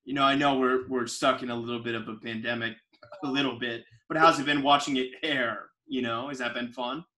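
Bright-toned man hosting an interview. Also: mid-range voice, steady tone, fairly quick. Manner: encouraging, frequent short affirmations. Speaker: podcast host. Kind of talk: casual interview chat. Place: Williamsburg apartment.

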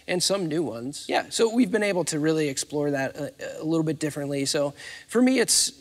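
A man's voice: fairly high pitch, lively intonation, fairly quick. A man in his thirties explaining things informally as an interviewee on a theatre stage. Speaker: interviewee, theatre facilities manager. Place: large dark theatre stage, indoors. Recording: echoey hall reverb.

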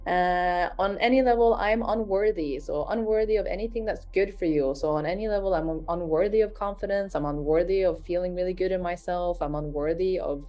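A woman leading a guided healing session, speaking in a slow, soothing, repetitive way and murmuring soft affirmations. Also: on the low side, dull audio.

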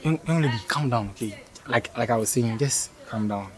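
A man's voice: medium pitch, brisk, natural voice. A man playing a stammering, polite young man. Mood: pleading. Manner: stammering and apologetic. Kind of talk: scripted skit acting.

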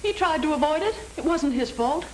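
A woman's voice: high-pitched, animated, a little fast, a little mellow. An American actress playing a lovestruck, devoted young woman who implores earnestly in a 1930s film melodrama. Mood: devoted, urgent. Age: young adult. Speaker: film actor. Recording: hissy 1930s film soundtrack.

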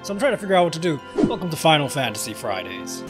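A man's voice: a little high, sing-song, fast talker. Profane, laughing young gamer man. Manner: loose, profane, laughing, talks back at the game. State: tired, late at night. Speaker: gaming channel host. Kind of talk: casual let's-play commentary. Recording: game music loud behind voice.

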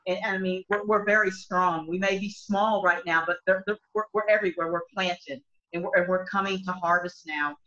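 A middle-aged woman, a country singer, talks on a video call from home. Her voice is bright and forward, and she rambles earnestly with many false starts. The laptop mic makes the sound dull and lo-fi. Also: lowish voice, steady tone, a little fast, hardly any pauses. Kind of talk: casual video call discussion. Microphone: laptop webcam mic on a video call.

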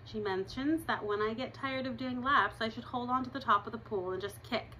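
A woman in her thirties reads a chapter book aloud with a clear, bright tone, lively and expressive as she goes. She stops now and then to chat with her listeners in an easy, conversational way. She is recorded on a camera's built-in mic in a living room at home, with a faint hum of room sound behind her. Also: on the high side, fairly quick, low-quality recording. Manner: expressive read-aloud with chatty asides. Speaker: reader.

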